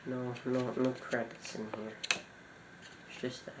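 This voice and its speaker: A man with a middling pitch presents items from a collection in a casual way. He speaks in short, halting, informal phrases. The room is echoey and there is clear noise in the recording. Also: level tone. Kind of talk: casual show-and-tell commentary.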